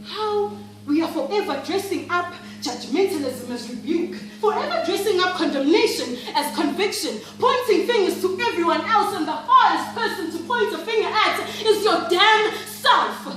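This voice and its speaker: Female actor for devout South African churchwoman, high voice, theatrical spoken-word delivery, weary of pretence; distant, music underneath. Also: lively intonation. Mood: frustrated, impassioned. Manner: theatrical, emphatic, with repeated phrases and dramatic pauses. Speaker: monologue performer. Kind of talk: dramatic spoken-word monologue. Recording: very echoey room, distant mic, music behind.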